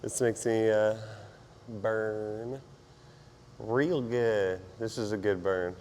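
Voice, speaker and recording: A man with a fairly low, hoarse voice chats informally as he works out for a fitness vlog. He rambles in a self-deprecating way between efforts. Clear background noise surrounds his voice. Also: steady tone, very slow, darkish tone. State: hoarse, partly lost voice. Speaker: fitness vlogger. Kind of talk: casual workout vlog chat while exercising.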